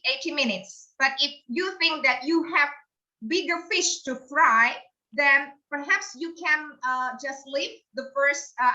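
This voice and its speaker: A woman's voice: high voice, bright, forceful tone. A woman with an Indonesian accent hosting an online English club on a video call, speaking hesitantly with many fillers and restarts. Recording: dull lo-fi video call audio.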